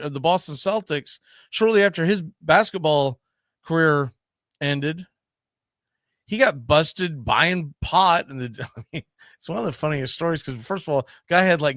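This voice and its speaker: A man with a high, lively voice that swings around as he talks sports. He hosts in a casual debating style, emphatic and full of rhetorical questions. The sound is dry and close. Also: no room sound. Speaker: sports talk show host.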